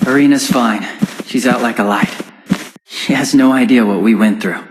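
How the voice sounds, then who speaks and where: A man's voice: mid-range voice, normal speed, background noise, lightly acted. A man playing an impulsive, loyal teenage boy hero in a dramatic video game. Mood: relieved. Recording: loud music over dialogue.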